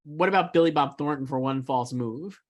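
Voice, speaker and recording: Male co-host, medium-pitched voice, emphatic, slightly sweary, informal discussion style. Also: a little fast. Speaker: awards podcast co-host and pundit. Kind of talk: casual film awards chat.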